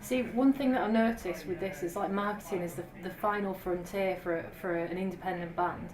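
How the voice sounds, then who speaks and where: A woman's voice: mid-range voice, fairly even intonation, brisk. A woman interviewing a band, asking curious, conversational questions. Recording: echoey, with a crowd behind.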